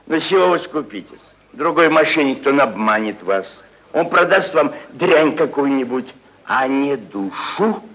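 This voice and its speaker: An actor playing a stubborn, blunt landowner who haggles emphatically and repetitively, in old stage-style radio drama. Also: male voice, medium pitch, expressive, a little slow, fairly bright tone. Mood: boastful. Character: stubborn, blunt, haggling male landowner selling dead serfs. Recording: dull vintage archive radio recording.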